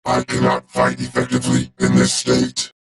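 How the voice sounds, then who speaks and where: A man voicing a cold, loyal robot warrior for a video game, speaking in a clipped, formal, deadpan way through a robotic filter. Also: mid-range voice, normal speed, vocal fry. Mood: clinical, detached. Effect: robotic vocoder filter.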